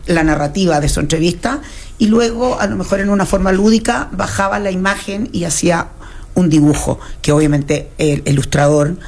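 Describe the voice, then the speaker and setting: Chilean woman guest, low register, conversational and a little hesitant. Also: moderate pace, darkish tone. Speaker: gallery owner and guest. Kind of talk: interview conversation.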